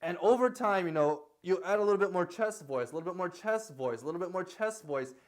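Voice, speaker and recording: A young man coaching singers with a fairly high, light speaking voice. He rambles casually, repeating himself and checking in with "okay?" as he explains. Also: animated, fairly quick. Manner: loose, repetitive talk with many fillers and "okay?" checks; sings short lines to demonstrate. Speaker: singing teacher. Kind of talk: casual vocal lesson.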